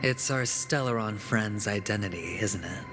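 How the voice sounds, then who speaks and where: An actor playing a suave, shrewd, self-possessed man in a video game, with a wry and deliberate delivery. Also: on the low side, lightly acted. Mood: wry, curious. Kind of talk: English video game voice acting. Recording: music under the dialogue. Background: game music.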